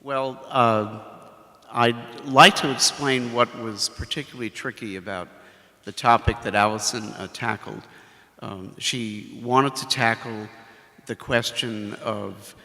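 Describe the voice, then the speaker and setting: A man, a professor, speaking at a ceremony in a halting way full of fillers. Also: medium pitch, fairly even intonation, bright, forceful tone. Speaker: professor, faculty mentor. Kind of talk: ceremony speech. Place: library ceremony hall. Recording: long hall reverb.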